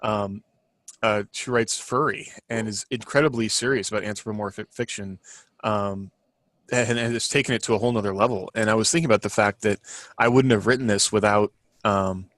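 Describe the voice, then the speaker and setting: A man with a fairly low voice talks casually on a writers' podcast. He thinks aloud, circling and restarting as he rambles. The sound is very dry, with no room to it. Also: fairly even intonation, brisk, a bit bright, no echo. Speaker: fiction writer and podcast panelist. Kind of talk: casual podcast chat about writing.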